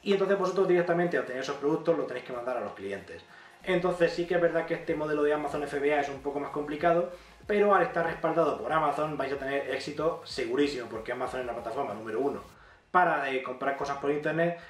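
A man's voice: on the high side, quick pace, reverberant, far from the mic. A man in his thirties with an accent from Spain, giving chatty, emphatic money-making advice straight to the camera as a YouTuber. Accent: Spanish from Spain. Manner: chatty, emphatic, gestures a lot. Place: small indoor room.

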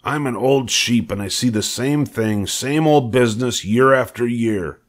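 A man's voice: mid-range voice, unhurried, pitched above the performer's own voice, close to an everyday voice. A man playing a gloomy old female sheep in a storybook, speaking in a matter-of-fact, snappish, weary way. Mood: grim, weary.

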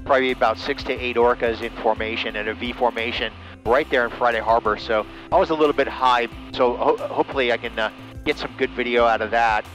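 Man, pilot, ham radio chat, relaxed, enthusiastic, filler words, headset mic. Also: medium pitch, quick pace, soft background music, poor audio. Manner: relaxed, enthusiastic, full of fillers, ends turns with "over". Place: small plane cockpit, then home radio room.

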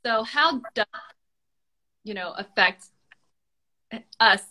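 A woman hosting a casual livestream interview over a video call, asking questions with many pauses and fillers. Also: fairly high pitch, expressive, sharp tone, slight background noise. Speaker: host. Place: home room, indoors.

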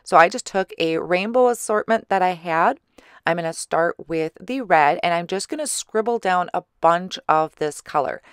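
A woman giving a chatty voice-over for a card-making tutorial, her voice slightly hoarse. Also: on the low side, normal speed, no room sound, studio quality. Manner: relaxed, chatty explaining with small fillers. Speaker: card-making channel host. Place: recorded separately as voice-over.

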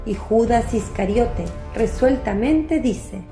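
A woman's voice: on the high side, lively intonation, slow pace, warm tone, roomy sound, soft background music. A woman narrating a scripted radio drama.

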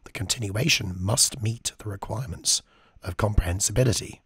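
A man with a lost, hoarse voice from a chest infection, speaking very close to the microphone as he teaches music, thoughtful and full of ums. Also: on the low side, fairly quick, fairly bright tone, no echo. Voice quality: breathy, half-whispered. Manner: thoughtful, with frequent ums while reading quotes. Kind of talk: screen-share music lesson.

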